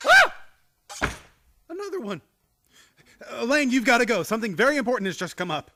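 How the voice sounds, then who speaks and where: An actor playing a frantic, exasperated nephew in a radio play, agitated and flustered. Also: male voice, very high-pitched, big pitch swings, a little fast, bright, forceful tone, heavily acted.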